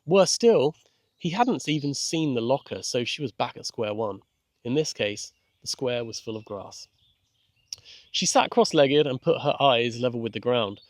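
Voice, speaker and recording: Male storyteller, medium pitch, gentle measured read of a children's novel, very little room sound. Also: lively intonation, no echo. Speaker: author and narrator. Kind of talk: scripted children's audiobook narration.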